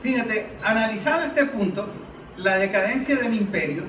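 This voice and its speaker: A man with a notably high voice and a Venezuelan accent leads a political discussion. He argues emphatically and poses rhetorical questions. The sound is roomy, picked up at some distance from the microphone. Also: lively intonation, moderate pace, fairly bright tone. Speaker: forum podcast moderator and political analyst. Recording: roomy, distant mic, faint noise.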